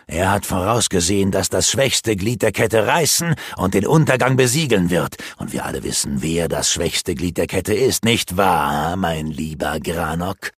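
A man voicing a scornful, treacherous male wizard who taunts his foe in slow, drawn-out phrases, with a gravelly, husky voice. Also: deep voice, lively intonation, no echo, studio quality, pitched below the performer's own voice, exaggerated voice. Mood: mocking.

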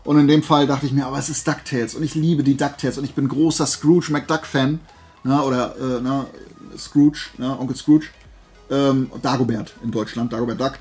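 A man's voice: medium pitch, fairly even intonation, moderate pace, a little mellow, slightly rough, faint music behind. A man chatting casually and playfully to camera as a vlogger, rambling through asides. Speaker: YouTuber showing flea market finds.

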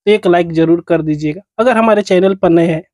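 A man's voice: sits high, a little fast, a little mellow, no echo. Man giving dry-sounding phone tutorial. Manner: repetitive, instructional phrasing. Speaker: tech tutorial host. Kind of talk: step-by-step phone tutorial narration.

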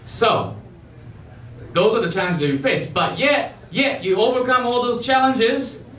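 High-voiced man doing stand-up, echoey stage. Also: animated, measured pace, a bit bright, recorded from a distance, a little hiss or hum. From Japan. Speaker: amateur stand-up comedian. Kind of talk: stand-up comedy performance. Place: theater stage before a live audience. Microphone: handheld wired microphone. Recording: echoey stage mic, heard from afar.

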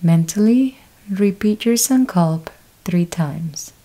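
A woman guides a deep-rest meditation, speaking very slowly with long pauses between short instructions. Her voice is low-pitched and mellow, with a relaxed, unhurried delivery throughout. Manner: slow, calm step-by-step instructions with long pauses. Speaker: yoga nidra teacher.

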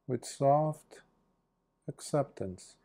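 A man leading a guided meditation as a Buddhist monk, speaking gently in short phrases with long pauses between them. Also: mid-range voice, expressive, gravelly.

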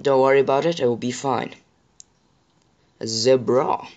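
A man with a sore throat and a slightly rough voice, chatting casually to viewers while he plays a survival game. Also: medium pitch, normal speed, a little mellow. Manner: chatty, apologetic, addresses viewers directly. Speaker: gaming channel host. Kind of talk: casual gameplay commentary.

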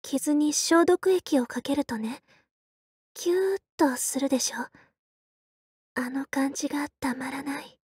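An actress playing a quiet, reserved young female soldier in a game, soft-spoken and deadpan, speaking in short halting phrases. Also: sits high, no echo, clean studio sound. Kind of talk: Japanese game character voice lines.

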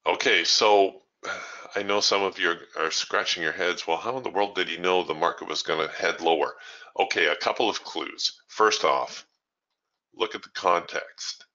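A man hosting a trading training room, thinking aloud in a relaxed way as he walks through live trades. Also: sits low, normal speed, muffled recording. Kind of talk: live trading commentary over screen share.

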